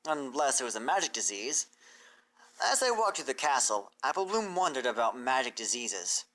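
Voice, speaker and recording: Female story narrator: low pitch, bright tone, animated read of fan fiction. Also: moderate pace, poor audio. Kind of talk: scripted narration.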